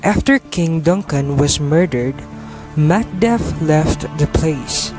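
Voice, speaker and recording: Slow-paced male narrator over music. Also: on the high side, expressive, darkish tone, poor audio. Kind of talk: scripted story narration.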